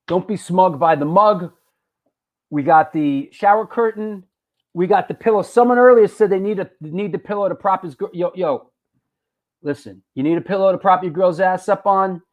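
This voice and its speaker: A high-pitched man hosts a live online show. He talks loosely and jokes around, stumbling over and repeating his words. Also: normal speed, muffled recording. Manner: loose, joking, repeats himself. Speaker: host.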